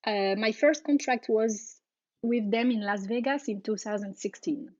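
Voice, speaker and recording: A woman's voice: fairly high pitch, a little mellow, dry recording, lo-fi sound. A woman in her thirties from France with a French accent, answering interview questions casually with fillers and little laughs. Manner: laughs often, halting with fillers. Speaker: guest, aerialist.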